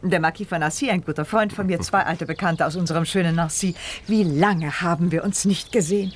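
A woman plays a scheming noblewoman with a low, husky voice. She speaks with polished, theatrical refinement and quiet command, in the style of a 1970s German radio drama. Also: a little fast, lightly acted. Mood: effusive, feigned surprise. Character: scheming, commanding aristocratic lady of polished charm.

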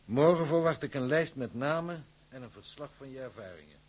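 A man playing a formal, calculating shipping company official in a radio drama, measured and officious. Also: mid-range voice, lively intonation, husky. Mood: cool, businesslike.

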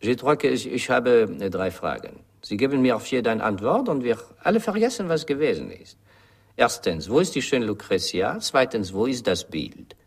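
An actor playing a falsely friendly, menacing gangster in a radio drama, theatrically polite and hesitant, with a French accent. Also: male voice, medium pitch, very animated, moderate pace, husky. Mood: polite menace.

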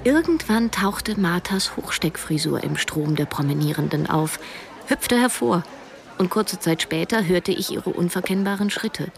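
A woman's voice: fairly low pitch, animated, unhurried, gravelly, crowd noise. An actress in her early thirties playing a broke, self-doubting young woman, narrating a radio drama in a reflective, literary way. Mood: reflective, wry. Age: early 30s. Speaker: narrator and lead character. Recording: music behind the voice.

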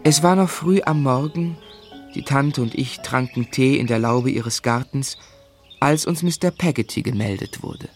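An actor playing a gentle, melancholy gentleman who narrates his life in a literary, lyrical radio play style. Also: medium pitch, measured pace, faint music behind, close to an everyday voice. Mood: wistful. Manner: lyrical, reflective.

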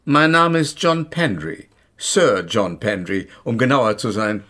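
An actor playing a self-important invisible gentleman scientist in a radio play, polite and urbane in manner. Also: lowish voice, expressive, slow, full character voice. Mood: genteel, self-satisfied. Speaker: physics professor. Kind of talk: German radio play acting.

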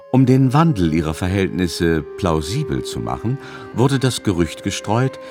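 A man narrating a literary story in a scripted radio drama. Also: fairly low pitch. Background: music under the voice.

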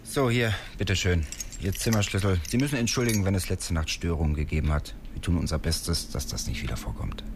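An apologetic innkeeper in a radio play, with a low voice. He is courteous, subdued and a little evasive, with faint ambience behind him. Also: a little fast, noisy background. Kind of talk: naturalistic radio drama acting.

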